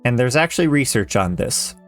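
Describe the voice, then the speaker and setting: A man in his thirties, a language teacher, reading a measured, earnest voice-over lesson. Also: medium pitch, very animated. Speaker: Japanese teacher. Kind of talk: scripted educational voice-over. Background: soft background music.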